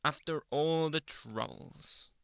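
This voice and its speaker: A man playing a grumpy, possessive yandere boyfriend in an ASMR roleplay, brooding and theatrical, often dropping into breathy whispers close to the mic. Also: on the high side, takes it slowly, fairly bright tone, no echo, exaggerated voice. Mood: menacing, intense. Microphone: close ASMR microphone.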